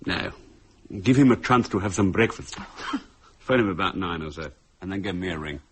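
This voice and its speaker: An actor with a British accent playing a wry, brisk, curious husband in a radio drama, his voice husky. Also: low-pitched, animated, fairly bright tone, slight background noise. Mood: wry, decisive. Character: dry, brisk, curious husband eager for answers.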